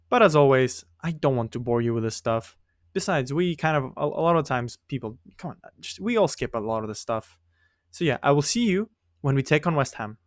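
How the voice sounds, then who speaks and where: A man's voice: a little high, no room sound, studio quality. A man whose first language is not English, chatting casually and rambling as he comments on a football management game he is playing. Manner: chatty, rambling, breaks off and restarts sentences. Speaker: gaming video creator.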